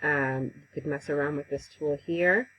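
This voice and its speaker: A woman giving a casual, conversational software tutorial over a headset mic, with frequent ums. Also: sits low, fairly even intonation, normal speed, a little hiss or hum, low-quality recording. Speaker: instructor. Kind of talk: screen-recorded software tutorial.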